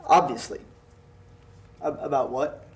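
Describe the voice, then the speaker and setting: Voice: medium pitch, fairly even intonation, unhurried, lightly acted. A performer playing a laid-back, blunt male college roommate in a table read of a drama script. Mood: casual.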